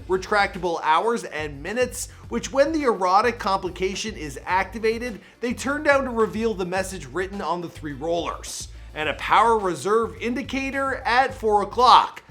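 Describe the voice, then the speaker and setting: Punchy, bright-voiced Toronto host. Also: male voice, high voice. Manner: jokey, slangy, punchy delivery. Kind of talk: energetic countdown commentary.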